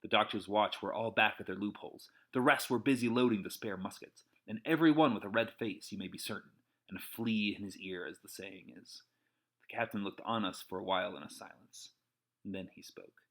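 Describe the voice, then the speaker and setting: A man's voice: lowish voice, a little fast, a bit bright. A man reading an adventure novel aloud as dramatic narration, an amateur audiobook reader.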